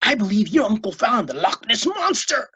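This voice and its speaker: A woman voicing a brisk, helpful woman librarian who speaks crisply and with excitement. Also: fairly high pitch, dull audio, pitched above the performer's own voice, exaggerated voice. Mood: confiding.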